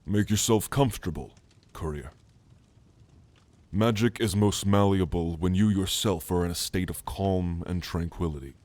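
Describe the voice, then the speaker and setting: A man voicing a calm, scholarly orc shaman and gentle mentor, speaking in a soothing, measured, formal way in an ASMR roleplay. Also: low-pitched, dry recording, natural voice. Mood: calm, hypnotic.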